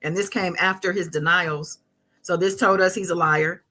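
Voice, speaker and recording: A woman hosting a casual live stream, talking through viewer comments in a conversational, halting way as she thinks aloud. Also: lowish voice, steady tone, sharp tone.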